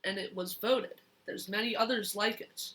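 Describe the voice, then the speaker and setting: A young child reading a picture book aloud in a deliberate, expressive way. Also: mid-range voice, rough recording. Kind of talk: storybook read-aloud.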